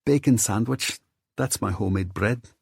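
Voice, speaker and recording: A man voicing an older Scottish woman who keeps a pub, brisk and plain-spoken. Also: fairly low pitch, gravelly, breathy edge, dry recording, clean studio sound, voice pushed up. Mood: offhand.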